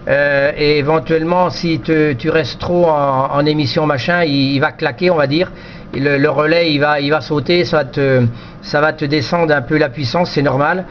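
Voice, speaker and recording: Choppy-talking man, slightly high voice. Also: fairly even intonation, moderate pace. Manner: choppy, repetitive, explanatory. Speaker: amateur radio operator. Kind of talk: casual technical radio chat. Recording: slightly dull, faintly noisy radio chat recording.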